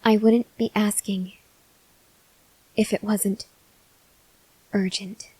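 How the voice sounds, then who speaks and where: Woman acting a slow, hushed, starving vampire woman. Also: mid-range voice, little pitch movement, low-quality recording. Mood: weary, desperate. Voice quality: soft, breathy, often dropping to a whisper. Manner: slow, halting delivery with long pauses. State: weak, faint with hunger (acted). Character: starving female vampire researcher, weary, earnest, gently pleading. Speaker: voice actor. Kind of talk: ASMR roleplay, acted monologue to listener.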